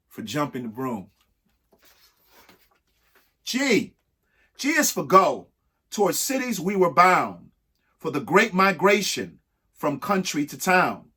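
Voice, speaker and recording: A husky-voiced man reading a rhyming picture book aloud expressively, emphatic, with pauses between short phrases. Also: fairly high pitch, pushed and bright. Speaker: reader of a children's picture book. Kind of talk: expressive read-aloud of a rhyming alphabet book with spoken asides.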